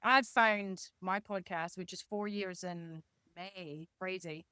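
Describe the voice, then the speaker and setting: A woman's voice: on the low side, slow pace, pushed and bright, clean tone, no echo. A woman hosting a casual podcast interview in an encouraging, affirming manner. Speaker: podcast host.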